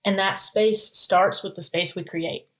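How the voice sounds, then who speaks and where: A woman's voice: medium pitch, fairly even intonation, measured pace, poor audio. A woman guest in a podcast interview, speaking candidly and laughing easily, with stumbling restarts. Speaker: music therapist guest. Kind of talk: casual interview chat.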